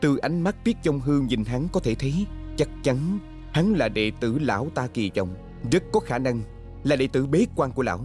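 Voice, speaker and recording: A man's voice: medium pitch, lively intonation, moderate pace, a little mellow, soft background music, close to an everyday voice. A man dubbing a scheming young nobleman in an ancient court drama, with a formal, courtly, insinuating manner. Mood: plotting. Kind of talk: Vietnamese dubbing of costume drama.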